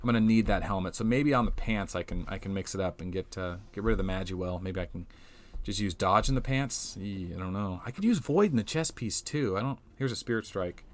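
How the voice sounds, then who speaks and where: A young man, slightly hoarse from losing his voice, giving casual commentary over a mobile game replay and thinking aloud as he goes. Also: fairly low pitch, expressive, a little fast. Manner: rambling, restarts often, thinks aloud. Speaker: game channel host.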